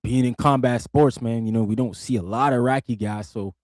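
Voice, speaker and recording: A man's voice: mid-range voice, a little fast, darkish tone, dry recording, studio quality. A man hosting a podcast, asking casual questions and filling in with short, easygoing affirmations. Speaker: podcast host. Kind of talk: casual interview chat.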